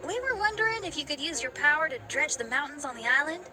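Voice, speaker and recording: A woman playing an eager, polite, determined young girl adventurer in a video game, with a quick, earnest delivery. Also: sits high, animated. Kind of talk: video game character acting. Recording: game music playing behind voice.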